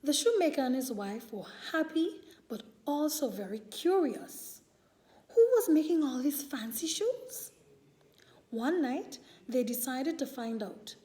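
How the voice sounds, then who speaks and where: A woman storyteller reading a picture book aloud to young children in a deliberate, expressive way. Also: sits high.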